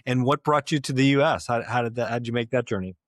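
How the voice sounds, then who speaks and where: A man hosting an interview podcast, speaking in a measured way with frequent "uh" fillers. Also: medium pitch, no room sound, clean studio sound. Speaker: podcast host.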